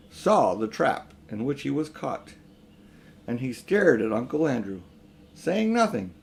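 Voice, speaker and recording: A man's voice: mid-range voice, a little mellow. An older man narrating a children's audiobook, with informal asides to the listener. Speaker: audiobook reader.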